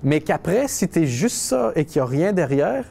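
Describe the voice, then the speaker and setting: A man's voice: fairly high pitch, sing-song, normal speed, creaky, mellow. A young man, chatting casually and wryly in an interview, often restarting his sentences. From Quebec. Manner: conversational, wry, restarts often.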